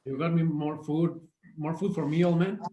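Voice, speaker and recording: A sullen, defiant young tough from a drama script, acted by a man. His voice sits fairly high, and the room echoes around it. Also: steady tone, moderate pace, dark tone, highs cut off, lightly acted. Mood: defiant, bitter. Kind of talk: screenplay table read. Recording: roomy, echoey sound.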